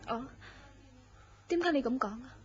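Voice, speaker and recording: An actress playing an affectionate married woman in a wistful secret romance, coy and expressive in Cantonese radio drama style. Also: high-pitched, measured pace, breathy edge. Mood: gentle, wistful. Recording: dull lo-fi audio, loud background noise.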